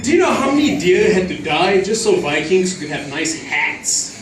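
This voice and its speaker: A man's voice: high voice, very animated, normal speed. A man from Singapore, doing a crude, profanity-laden open-mic stand-up set. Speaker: stand-up comic. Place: small comedy club at an open mic night. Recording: echoey, distant club audio with crowd noise.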